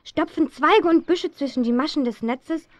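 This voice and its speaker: A woman's voice: high-pitched, moderate pace, dry recording, lightly acted. A woman playing a plucky, headstrong teenage girl detective in a children's radio play, eager and insistent. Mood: excited, determined. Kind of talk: 1970s German children's radio play acting. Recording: dull, muffled old audio drama recording.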